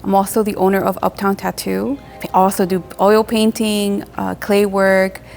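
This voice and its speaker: A young adult woman from Mexico, a tattoo artist, talking casually in a relaxed, rambling way with lots of filler words. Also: medium pitch, normal speed, vocal fry, faint music behind. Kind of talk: casual interview monologue.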